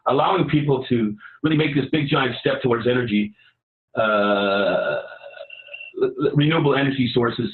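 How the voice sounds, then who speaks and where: A man's voice: medium pitch, moderate pace, narrow, tinny audio. Canadian man in an echoey room. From Vancouver, Canada. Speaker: editor and hackathon participant pitching a project. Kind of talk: informal project pitch to an online audience. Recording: roomy, echoey sound.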